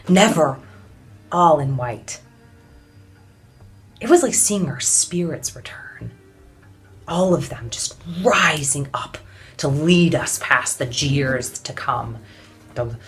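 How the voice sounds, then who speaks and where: An actress playing a weary, defiant suffragette in an intense, deliberate theatrical monologue with heavy pauses. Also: female voice, low-pitched, fairly bright tone. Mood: awed, stirring. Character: weary, defiant suffragette woman recalling hunger and beatings.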